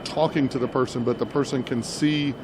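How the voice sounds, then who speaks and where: A man talks through a product demo in an explanatory way, elaborating and restating his points as an interviewer would. His voice sits at a medium pitch, and there is clear noise from a trade show floor behind him. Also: steady tone, fairly quick. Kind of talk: unscripted product demo commentary. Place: indoor trade show booth.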